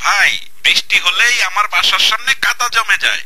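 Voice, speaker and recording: Complaining male phone-in viewer, comedy sketch. High, plaintive, buried in loud line noise. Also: normal speed. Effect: telephone call. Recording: very noisy phone line with clicks.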